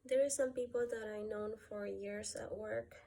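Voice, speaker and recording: A woman from Peru talking through a personal gratitude list, softly and hesitantly, with many pauses and fillers. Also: mid-range voice, fairly even intonation. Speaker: vlogger.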